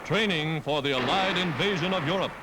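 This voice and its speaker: A man's voice: on the high side, brisk, very noisy. A man narrating a 1940s wartime newsreel in a dramatic, declamatory manner, on a vintage film soundtrack. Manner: declamatory, dramatic scripted delivery. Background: loud music.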